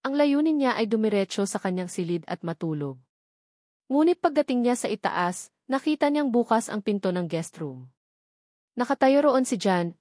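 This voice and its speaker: Woman reading a romance drama audiobook, scripted storyteller style, mid pitch, super dry audio. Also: darkish tone, dry recording.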